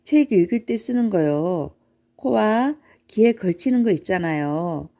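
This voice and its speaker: A woman's voice: medium pitch, lively intonation, a little slow, warm tone. A woman voicing a small, curious, polite boy in a children's storybook, speaking matter-of-factly. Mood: polite, explaining.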